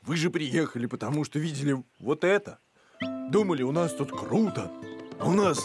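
Актёр озвучивает хвастливого, легко возбудимого пса-блогера в мультфильме, говорит восклицаниями и с модными словечками. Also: male voice, fairly high pitch, moderate pace, a little mellow, natural voice. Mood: dejected. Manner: exclamatory, slangy, showing off. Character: boastful excitable male dog, a wannabe blogger. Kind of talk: animated cartoon voice acting. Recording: music behind the voice.

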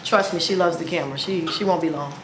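A woman's voice: on the low side, fast talker, echoey room, background noise. A woman in her forties chatting to camera at home as a vlogger, upbeat and playful, exclaiming often. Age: 40s. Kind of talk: casual chat to camera. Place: indoors at home, plain wall. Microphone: camera in front of her.

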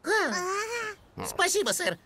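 A squeaky fussy green sand fairy. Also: female voice, huge pitch swings, very slow, over a noisy crowd, heavily acted. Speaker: voice actor in animated series dub. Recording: studio voice with loud music behind.

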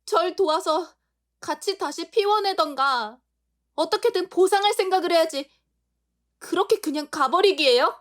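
A blunt young woman from a flower shop, played by a woman, scolding in a very high, piercing voice. She snaps quickly and sounds flustered by turns. This is an amateur audio drama. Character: young woman florist's daughter, feisty and blunt.

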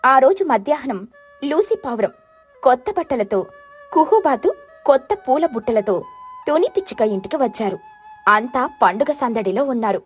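A woman narrating a children's cartoon story in a gentle storybook manner. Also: sits high, animated, moderate pace, long pauses. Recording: faint music underneath.

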